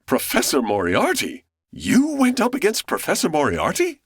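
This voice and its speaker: An actor playing a polite, easily flustered radio announcer in an old-time radio comedy. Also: male voice, a little high, huge pitch swings, normal speed, husky, no room sound, studio quality, exaggerated voice. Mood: polite, wary. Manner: formal, courteous, flustered. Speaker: announcer and interviewer.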